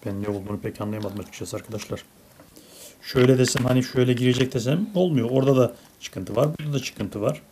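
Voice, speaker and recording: A woman's voice: very deep voice, quick pace, dark tone, slight background noise. A woman narrating a casual toy unboxing for children, as a parent chatting with a child indoors at a table.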